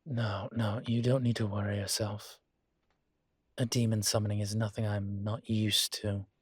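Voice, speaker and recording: A man acting as a composed, courteous college professor in an intimate audio roleplay, speaking with measured, formal politeness and dry wit. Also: fairly low pitch, flat delivery, slightly rough, no room sound. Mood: wry, reassuring. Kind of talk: intimate scripted one-sided roleplay dialogue.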